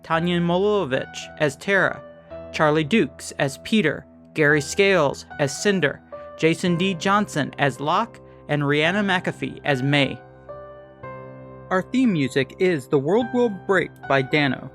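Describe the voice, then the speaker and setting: A man's voice: fairly high pitch, expressive, measured pace, a bit bright. A man reading scripted credits and promos as a podcast announcer. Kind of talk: scripted narration. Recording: music under the voice. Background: music with guitar.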